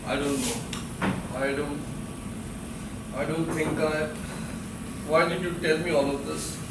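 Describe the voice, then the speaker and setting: A man plays a polite, flustered married man on a theatre stage. His voice is high and hesitant, quick to protest. It is heard from a distance through heavy echo and clear background noise. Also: steady tone. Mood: uneasy. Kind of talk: live stage drama acting. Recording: echoey theatre, distant mic, noisy ambience.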